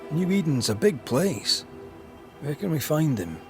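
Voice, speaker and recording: An actor playing a grieving, resolute Scottish ghost hunter in a dramatic video game. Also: male voice, a little high, very animated, unhurried, faint music behind. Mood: businesslike, firm.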